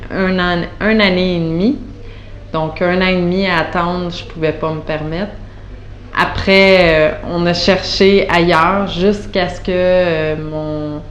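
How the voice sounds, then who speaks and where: A woman in her thirties from Montreal with a Quebec French accent gives an unscripted testimonial, hesitant and full of fillers and restarts. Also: on the low side. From Montreal, Canada. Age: 30s. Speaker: patient giving a testimonial. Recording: roomy echo with faint background noise.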